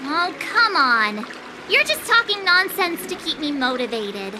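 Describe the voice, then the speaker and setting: Very high, bubbly teenage girl. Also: unhurried, heavy background noise. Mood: pouting. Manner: bubbly, expressive. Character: perky teenage girl apprentice swordswoman, playful and vain. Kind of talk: anime game voice acting. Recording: loud game music behind.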